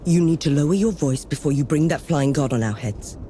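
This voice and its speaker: An actress playing a stern, skeptical field marshal in a game cutscene, curt and authoritative. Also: female voice, low voice, fairly quick, warm tone, slight background noise, lightly acted. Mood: bitter. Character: stern, skeptical female field marshal, battle-worn commander. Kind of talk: video game cutscene acting.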